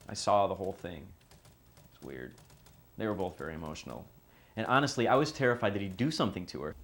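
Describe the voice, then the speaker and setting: A man playing a troubled son in an audio drama, giving testimony in a halting, confiding way. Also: sits low, expressive, fairly bright tone. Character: anguished son recalling his father's clash with his girlfriend. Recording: faint background noise.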